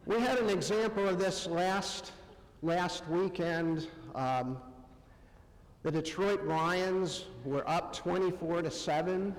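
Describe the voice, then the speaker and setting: Halting male preacher in a reverberant hall. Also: high voice. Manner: halting, pausing often between short phrases. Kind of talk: conversational sermon. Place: church sanctuary, amplified to a congregation.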